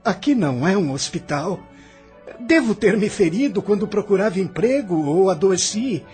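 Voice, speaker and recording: A man's voice: high voice, lively intonation, moderate pace, darkish tone, breathy edge, faint music behind, full character voice. A man playing an agitated, ailing immigrant in an audio drama, theatrical and impassioned, embittered by hardship. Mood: bitter, puzzled. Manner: theatrical, impassioned, swinging from faint to outcry.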